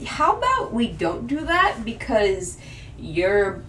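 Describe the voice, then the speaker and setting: A woman's voice: on the high side, wildly swooping pitch, takes it slowly, fairly bright tone. A woman with a mid-range voice recaps the news casually on a podcast, full of fillers and restarts. Her voice rings in an echoey room, picked up from a distance over faint ambient noise. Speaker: podcast co-host.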